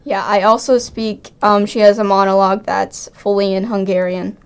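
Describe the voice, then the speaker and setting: Teenage girl with a smooth voice. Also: mid-range voice, flat delivery, moderate pace. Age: teens. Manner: chatty, with frequent ums and likes. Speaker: student director and cast member. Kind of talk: casual interview answers.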